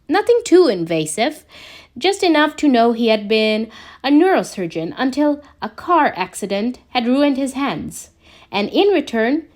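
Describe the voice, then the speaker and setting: A woman's voice: high-pitched, a little mellow. A woman reading a scripted piece of fan fiction aloud as a storyteller. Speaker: podfic narrator. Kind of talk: scripted fan fiction narration, read slowly.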